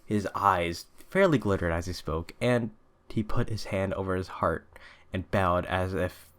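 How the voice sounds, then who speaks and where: Male audiobook reader, low pitch, scripted literary narration with occasional stumbles. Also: measured pace, fairly bright tone. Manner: stumbles and rereads phrases.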